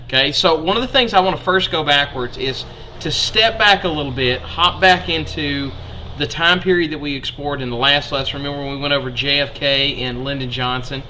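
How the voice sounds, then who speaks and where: List: man, Alabama accent, history teacher, casual explaining, bright tone. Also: fairly high pitch, brisk, a little hiss or hum, low-quality recording. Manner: chatty, folksy, addresses students as kiddos. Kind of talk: livestreamed history lesson.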